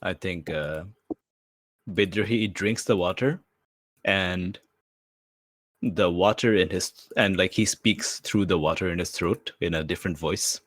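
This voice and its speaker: Male player, deep voice, casual improvised roleplay narration, very dry close sound. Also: unhurried, fairly bright tone, dry recording. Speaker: tabletop game player.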